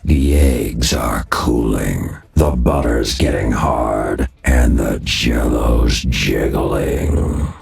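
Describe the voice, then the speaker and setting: Scary game villain, extremely low growl, crawling slow, sinister. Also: male voice, a little mellow, soft background music, exaggerated voice. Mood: ominous. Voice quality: cavernous gravelly growl. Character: big scary sinister male killer from a game.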